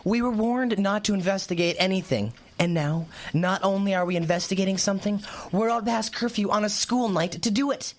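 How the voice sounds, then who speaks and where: A man's voice: sits high, a little fast, hardly any pauses. A man playing a teasing, sarcastic and imaginative teenage twin brother in a radio drama.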